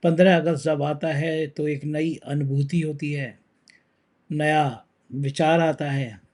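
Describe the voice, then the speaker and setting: Guy hosting a news talk show, throat's sore, kinda high voice, chatty and emphatic. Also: steady tone, slow, darkish tone.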